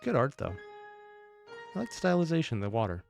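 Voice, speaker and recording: A man's voice: medium pitch, very animated, a little fast, gravelly, a little mellow, soft background music. A man giving casual, off-the-cuff commentary as a reaction host, analytical and prone to rambling asides and correcting himself.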